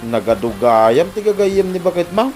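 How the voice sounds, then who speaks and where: Man acting an animated, higher-voiced Ilocano buddy. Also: normal speed, loud music behind the voice. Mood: teasing, amazed. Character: teasing village buddy, husband's excitable friend. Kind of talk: comedy drama acting.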